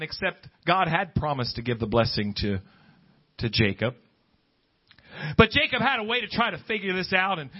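A man preaching a conversational, off-the-cuff sermon, emphatic and full of rhetorical questions and quotations. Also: mid-range voice. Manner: emphatic, rhetorical questions, quotes scripture and imagined objectors. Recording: dull, lo-fi sermon recording.

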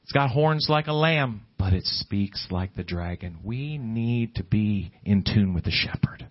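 A man preaching an evangelical sermon, exhorting earnestly with drawn-out emphatic phrases and repetition. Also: fairly low pitch, expressive. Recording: dull lo-fi audio under loud guitar music. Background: loud plucked guitar music.

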